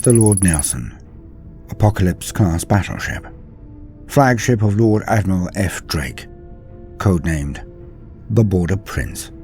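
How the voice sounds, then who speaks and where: Deep, solemn male storyteller. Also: lively intonation, mellow. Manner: solemn, theatrical, deliberate pauses. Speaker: storyteller and narrator. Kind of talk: dramatic scripted story narration. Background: faint music.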